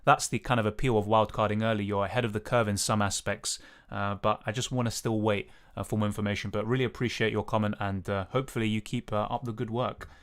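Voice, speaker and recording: A young man with a slightly raspy voice, casually answering chat questions on a live stream with lots of fillers. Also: lowish voice, fairly even intonation, fast talker, fairly bright tone. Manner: casual, hedging, many fillers. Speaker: fantasy football streamer.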